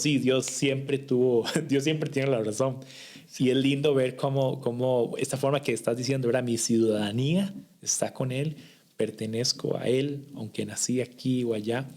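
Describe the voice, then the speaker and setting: A man hosting a faith podcast interview, affirming his guest and often stumbling over restarts as he talks. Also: mid-range voice. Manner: affirming, with frequent stammered restarts. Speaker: podcast host.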